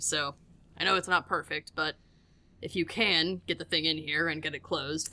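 A woman playing a composed male ranger director with a measured, matter-of-fact delivery. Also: deep voice, sharp tone, pitched below the performer's own voice.

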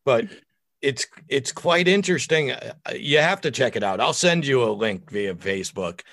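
A middle-aged American man with a deep voice, chatting casually and bluntly on a podcast as an opinionated, foul-mouthed guest. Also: expressive, normal speed, a bit bright, dry recording, studio quality. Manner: opinionated, blunt, crude joking. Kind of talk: casual, profane podcast chat.

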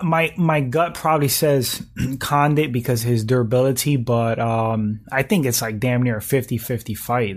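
A slightly hoarse man in his thirties, hosting a livestream and chatting casually while reading out viewer comments, often clearing his throat. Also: mid-range voice. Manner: relaxed slangy talk, frequent throat clearing. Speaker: livestream host reading chat. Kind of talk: casual chat.

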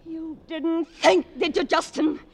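An actress playing a protective, bitter middle-aged American wife, intense and melodramatic in a 1950s radio drama. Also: female voice, very high-pitched, fairly even intonation, slow pace, vocal fry, exaggerated voice. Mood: furious, defiant. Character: fierce, protective society wife, bitter and unrepentant. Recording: noisy old radio recording.

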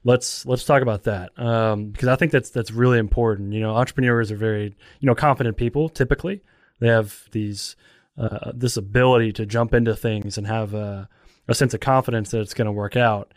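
Man, low voice, podcast host, conversational, questioning, dry close mic. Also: fairly even intonation, a little fast, no room sound. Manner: conversational, questioning, with many "like" fillers.

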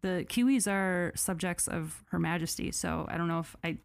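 Low-voiced chatty American woman, dry mic. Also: normal speed, vocal fry, no room sound. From United States. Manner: chatty and animated, many "like" fillers, jokey asides. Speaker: podcast co-host. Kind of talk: casual chat podcast.

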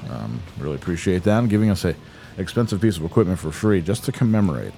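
A man's voice: sits low, expressive, fast talker, creaky, mellow, faint music behind. A man co-hosting a podcast in an apartment room, bantering casually and profanely with mumbled asides. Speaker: podcast co-host.

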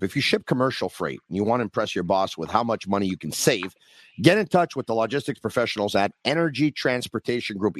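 A man's voice: fairly low pitch, no room sound. A man hosting a sports podcast, talking animatedly with emphatic repetition while losing his voice. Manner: animated, emphatic, repeats phrases. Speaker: podcast host. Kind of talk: sports talk, casual.

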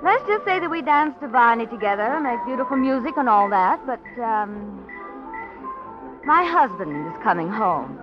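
A woman's voice: a little high, very animated, moderate pace. A cutting, composed married woman who is breaking off an affair, and her voice rises and falls freely. The dull vintage radio recording has music behind her. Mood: cool. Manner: cool, cutting, arch. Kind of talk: 1940s radio drama acting.

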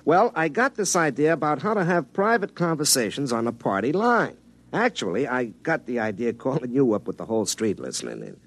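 A man's voice: mid-range voice, very animated, fairly quick. An actor playing a folksy young American telephone linesman and tinkerer in classic radio drama, stammering and correcting himself as he rambles. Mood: eager, sheepish. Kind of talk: classic radio drama acting and first-person narration.

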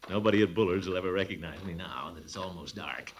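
An actor playing a pompous, blustering middle-aged water commissioner in 1940s radio comedy, with a booming, chuckling laugh. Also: male voice, fast talker, muffled recording, a little hiss or hum, close to an everyday voice. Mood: sneaky. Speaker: amateur detective.

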